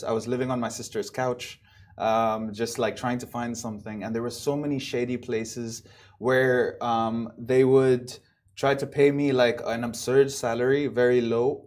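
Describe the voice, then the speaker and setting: Animated young man telling stories. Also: mid-range voice. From Dubai. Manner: animated, acts out quotes in raised voice. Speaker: guest comedian. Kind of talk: casual podcast storytelling.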